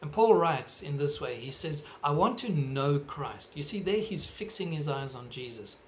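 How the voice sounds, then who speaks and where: A middle-aged man with a South African accent preaching a sermon from his living room into a webcam, earnest and measured, gesturing as he talks. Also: on the high side, expressive, a little hiss or hum. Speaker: pastor. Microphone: webcam at desk distance.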